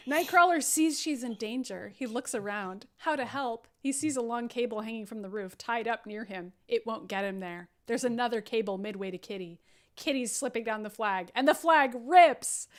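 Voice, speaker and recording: Animated, higher-voiced woman narrating a script. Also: moderate pace. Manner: jokey, swearing, adds silly sound effects. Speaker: podcast host reading stage directions at a table read. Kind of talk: animated script narration with sarcastic casual asides.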